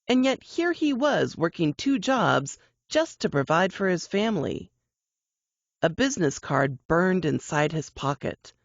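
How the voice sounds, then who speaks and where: A woman reading fiction aloud as a narrator, in an expressive, suspenseful, audiobook-style delivery. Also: fairly low pitch, no room sound, muffled recording.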